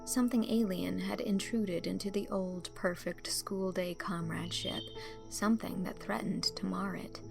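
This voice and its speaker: A woman narrating a literary audiobook with expressive, storyteller phrasing. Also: mid-range voice, moderate pace, gravelly, music in the background.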